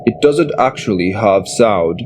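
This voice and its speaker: A practical male security guard, played by an actor in a fantasy audio drama. He talks directly with very animated pitch over clear background music. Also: a little slow. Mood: urgent, practical.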